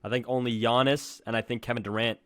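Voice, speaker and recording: A young man talks quickly and casually as a sports podcast co-host. His voice sits at a middling pitch, recorded close and dry. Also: steady tone, fairly bright tone, dry recording.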